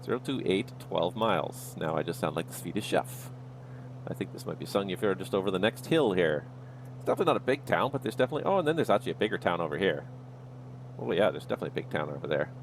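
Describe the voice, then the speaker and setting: A man with a low voice and a gravelly edge to it. He is streaming a flight sim and thinks aloud in an easygoing way. Faint noise sits under the voice. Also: expressive, fast, rough recording. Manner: easygoing, talks himself through each step. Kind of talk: livestream commentary, thinking aloud.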